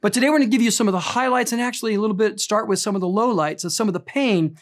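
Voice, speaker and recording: A man in his fifties preaching a sermon, telling a personal story in an earnest way and slowing down for emphasis. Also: high voice. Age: 50s. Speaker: pastor.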